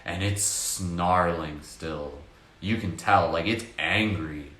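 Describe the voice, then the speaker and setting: Deep-voiced man guiding a meditation. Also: roomy sound. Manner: slow, soft-spoken, hypnotic with casual fillers. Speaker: meditation guide. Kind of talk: guided meditation.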